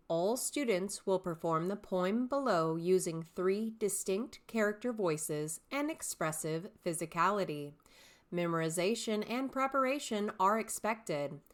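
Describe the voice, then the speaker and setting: A woman drama teacher giving instructions and narrating, with deliberate emphasis. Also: mid-range voice. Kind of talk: instructional talk and poem narration.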